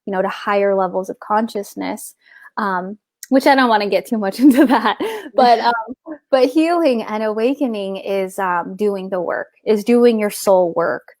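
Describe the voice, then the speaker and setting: Middle-aged woman guest, casual and meandering, mid pitch, dry close recording. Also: normal speed, darkish tone, no room sound. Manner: rambling, searching for words with many restarts. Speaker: guest author. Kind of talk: casual spiritual conversation.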